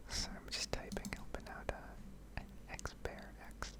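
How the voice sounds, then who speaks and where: A woman whispering a casual, rambling, slangy ASMR commentary over gameplay, close to the microphone. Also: moderate pace. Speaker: game streamer and ASMR video creator.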